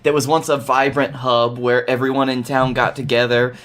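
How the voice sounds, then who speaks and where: A male podcast guest with a medium-pitched voice. He explains things in a loose, conversational way, with wry asides and the odd swear word. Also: little pitch movement, normal speed, a bit bright. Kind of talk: casual explanatory chat.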